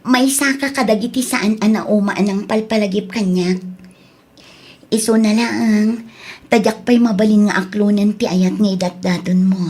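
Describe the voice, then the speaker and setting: An actress playing a young student daughter in a radio drama, earnest and emotive, with a slightly husky voice and a Filipino accent. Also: female voice, medium pitch, darkish tone. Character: young student daughter, remorseful, firm about breaking up. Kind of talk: Ilocano radio drama acting.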